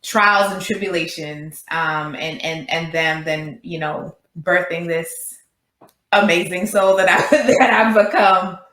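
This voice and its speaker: A woman from Queens, a business co-founder chatting as a podcast guest, lively and laughing as she talks. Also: on the low side, takes it slowly, roomy sound. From Queens, New York. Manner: animated, laughs while talking. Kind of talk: casual chat.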